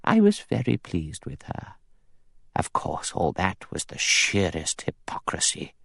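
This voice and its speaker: A severe old spinster from a storybook, acted out by a man. She is creaky and softly spoken, with brisk, precise diction. Her manner is coldly pious and disapproving, and the sound is close and dry. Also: low voice, wildly swooping pitch, dry recording, full character voice. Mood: serene disapproval. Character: prim, pious, severe elderly spinster, coldly self-righteous.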